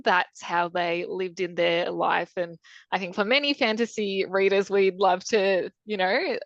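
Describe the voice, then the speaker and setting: A woman's voice: lowish voice, no echo, lo-fi sound. A woman author, talking in a casual interview, thoughtful and chatty with many ums and restarts. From Croatia. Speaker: author guest.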